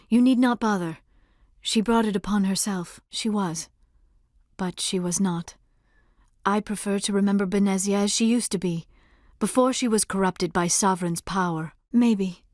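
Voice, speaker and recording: An actress plays a young alien woman scientist from a video game, earnest and gentle in temper. Her voice sits at a middling pitch, with formal, measured delivery and careful diction. The recording is dry and close, with no room sound. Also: no echo, natural voice. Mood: grieving, restrained. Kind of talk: scripted video game character dialogue.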